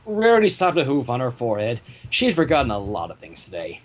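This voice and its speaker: A man's voice: mid-range voice, wildly swooping pitch, quick pace. A man narrating a fan fiction story aloud, with casual asides, in a home recording.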